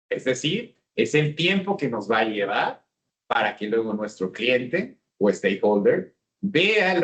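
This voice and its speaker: Mexican guy leading a seminar, mid-pitched voice, keeps checking in with the crowd. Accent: Mexican Spanish. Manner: engaging, asks the audience questions. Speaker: speaker. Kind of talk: business seminar talk.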